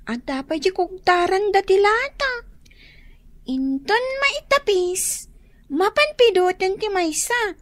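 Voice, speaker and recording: A woman's voice: very high voice, expressive, takes it slowly, no room sound, exaggerated voice. A woman playing a chirpy, dutiful young daughter in a radio drama. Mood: chirpy, eager. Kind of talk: Ilocano radio drama acting.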